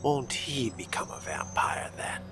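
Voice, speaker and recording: A man role-playing a newly sired young vampire for a video game, hesitant and measured, with slightly formal wording. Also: medium pitch, very animated, in a whisper. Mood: hesitant, confused. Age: young adult. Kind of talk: role-play acting over a video game. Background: music.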